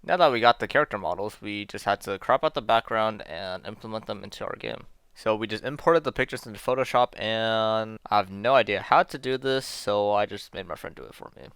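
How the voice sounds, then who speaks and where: Young male narrator for a scripted video: low, slightly gravelly, close and dry, self-deprecating and casual. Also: fairly quick, a bit bright, no room sound. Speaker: amateur game developer narrating a video.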